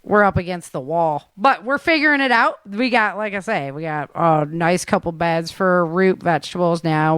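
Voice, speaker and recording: A woman's voice: deep voice, clean tone, dry recording. A woman hosting a podcast in a casual, rambling, conversational interview style. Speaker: podcast host.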